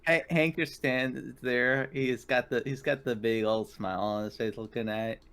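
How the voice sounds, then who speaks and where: A man's voice: mid-range voice, steady tone, moderate pace, a bit bright, clear, resonant tone. A man chatting casually as a player in a tabletop roleplaying game.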